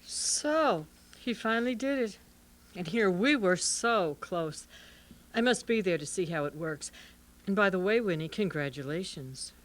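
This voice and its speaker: An imperious female scientist, played by a woman in a golden-age radio play. Her pitch swings widely and dramatically, full of stubborn, competitive pride. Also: lively intonation, a little slow, close to an everyday voice. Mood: miffed, imperious. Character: proud, competitive woman scientist, bitter rival, stubbornly undefeated.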